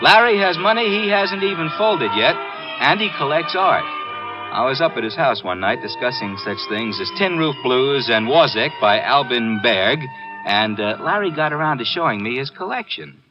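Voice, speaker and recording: A man's voice: mid-range voice, animated, moderate pace, natural voice. An actor playing a wry, skeptical hipster man in a 1950s radio drama, with dry, slangy and hesitant delivery. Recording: dull old radio recording with music.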